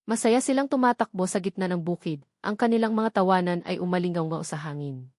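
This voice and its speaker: A woman's voice: lowish voice, brisk, darkish tone, dry recording, studio quality. A woman reading a scripted dramatic story in an earnest, sentimental manner. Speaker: story narrator.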